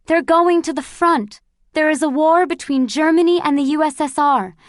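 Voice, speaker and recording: Woman voicing an elated, shouting mother. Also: sits high, normal speed, no room sound, clean studio sound, voice pushed up, natural voice. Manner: excited, raised voice. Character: eager, devoted middle-aged mother.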